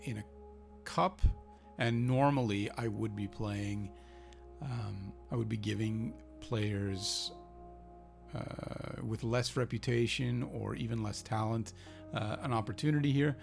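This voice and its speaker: Icelandic male host, low voice with fry, casual play-by-play cheering his team on. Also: steady tone, slow pace, faint music behind. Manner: chatty, thinking aloud, cheering his team on. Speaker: gaming channel host. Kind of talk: casual football manager game commentary.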